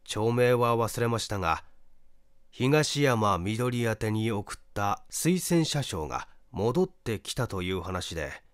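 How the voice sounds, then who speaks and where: Low-voiced man reading, very dry sound. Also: no room sound. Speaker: audiobook reader, stage actor. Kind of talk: scripted narration, mystery story reading.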